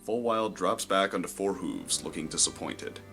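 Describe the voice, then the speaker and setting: Really deep guy narrating a story, bright punchy tone, measured delivery, bit of music behind. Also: animated, vocal fry. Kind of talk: scripted present-tense story narration.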